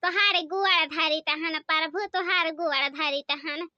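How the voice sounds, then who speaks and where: A woman voicing a scolding, excitable village mother in a comedy cartoon, with an Awadhi accent, nagging rustic chatter and an artificially pitched-up voice. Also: a little slow, no echo, heavily acted. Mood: grateful, relieved. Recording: dull lo-fi close dry sound.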